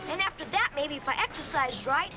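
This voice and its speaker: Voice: high-pitched, animated, a little fast. A child actor playing a scrappy, eager young boy in a 1940s film, talking in a plain boyish way. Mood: earnest. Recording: noisy old film, outdoor street sounds.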